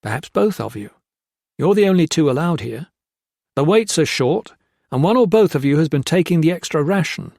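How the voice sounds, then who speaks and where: A man's voice: on the high side, lively intonation, normal speed, gravelly, a little mellow, no echo, studio quality, voice pushed up. A man voicing a stern, relentless army lieutenant who presses his questions firmly and coldly. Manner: firm, relentless, cold.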